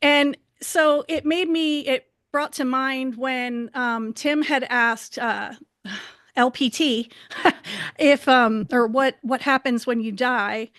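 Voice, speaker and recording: A woman's voice: high-pitched, fairly even intonation, slow, a bit bright, no echo, studio quality. A woman hosting a podcast in casual chat, explaining things in a hesitant, circling way. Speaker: podcast host.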